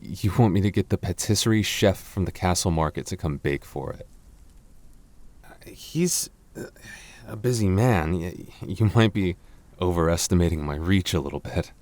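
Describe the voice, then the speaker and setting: A man playing a devoted young fantasy prince in a romantic roleplay, speaking tenderly and haltingly with gentle teasing. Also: low voice, expressive, dry recording. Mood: hesitant, reassuring. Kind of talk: romantic boyfriend roleplay ASMR.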